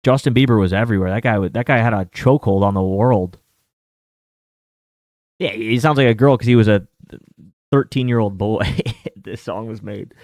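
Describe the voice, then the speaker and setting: A man's voice: on the low side, moderate pace, long pauses, a little mellow, no echo. Rambling man, dry close mic. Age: 30s. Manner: rambling, filler-heavy, with mild swearing. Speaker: sports betting host. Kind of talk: casual chat.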